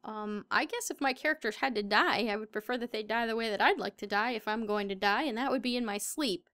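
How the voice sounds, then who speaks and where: A woman's voice: a little high, a little fast, bright, forceful tone, no echo, studio quality. A very young-sounding woman with an American accent, answering interview questions as a voice actor. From Southern United States.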